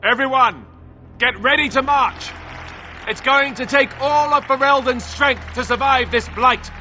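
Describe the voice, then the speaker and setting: A man's voice: extremely high pitch, measured pace, bright, forceful tone, a little hiss or hum, full character voice. A man voicing a young, self-doubting heir to a throne in a fantasy video game, earnest and wry, with a conversational, hesitant way of talking. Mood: rousing.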